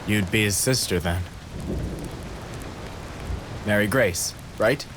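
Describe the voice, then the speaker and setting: A man playing a worried, grieving young soldier with a Newfoundland accent in a dramatic video game. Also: takes it slowly. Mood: subdued. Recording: noisy, rain and rumble behind.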